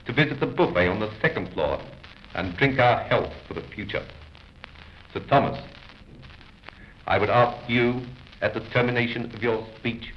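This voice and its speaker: An English man giving a stately, measured, formal scripted speech as the host of a ceremony, on an old 1930s recording. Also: medium pitch. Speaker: host introducing the guest speaker. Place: public opening ceremony in a store. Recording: muffled, noisy 1930s archive recording.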